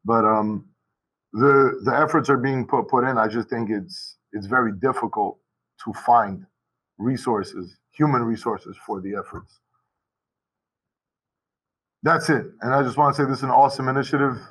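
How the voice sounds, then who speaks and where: Rambling male teacher on a video call, casual panel talk, mid-pitched, lots of restarts and asides. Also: normal speed, long pauses, fairly bright tone, breathy edge, low-quality recording. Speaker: high school Portuguese teacher. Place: school.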